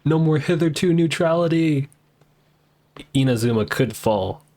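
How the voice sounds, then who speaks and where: Slow, laid-back male game streamer. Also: fairly high pitch, lively intonation, warm tone. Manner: laid-back, wry, joking. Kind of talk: casual live game commentary.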